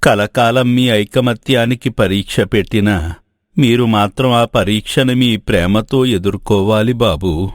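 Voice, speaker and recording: A man's voice: fairly low pitch, measured pace, dry recording, studio quality, close to an everyday voice. A man playing an ailing, worried old father in a moral story, giving solemn advice.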